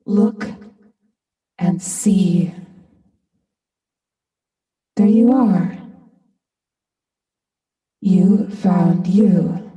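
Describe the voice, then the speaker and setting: A woman playing a spirit of nature in a staged play reading, warning humans in solemn, measured phrases, ominous yet loving. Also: lowish voice, recorded from a distance. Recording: echoey hall reverb, loud music.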